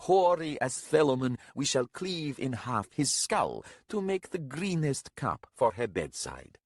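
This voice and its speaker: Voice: medium pitch, sing-song, unhurried, no echo, studio quality, voice pushed up. A man voicing a pompous older warrior, a dramatic and mocking doomsayer with florid, wailing archaic speech in a story.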